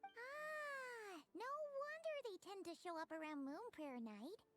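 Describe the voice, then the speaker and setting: Tiny fairy girl, high squeaky voice, very animated, curious, excitable, cute game character, music behind. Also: takes it slowly, heavily acted. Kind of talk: video game voice acting.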